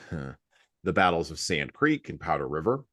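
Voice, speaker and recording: A man hosting a podcast, talking reflectively about books in a halting, deliberate way with emphasis on key words. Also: a bass voice, very animated, fairly bright tone, no room sound, studio quality. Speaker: podcast host. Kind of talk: reflective book discussion.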